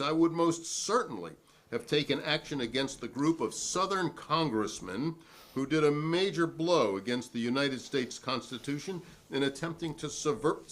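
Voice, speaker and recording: Defiant witness, animated and emphatic man acting. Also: medium pitch, close to an everyday voice. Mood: emphatic, indignant. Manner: emphatic, formal, deliberate repetition. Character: defiant, principled male witness at a 1950s congressional hearing. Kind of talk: dramatic reading of a hearing transcript.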